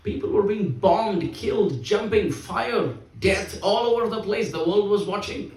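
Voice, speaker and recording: A man's voice: high-pitched, measured pace, darkish tone. A man giving a sermon, emphatic and repeating his key phrases. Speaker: spiritual speaker. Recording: echoey hall, voice from a distance.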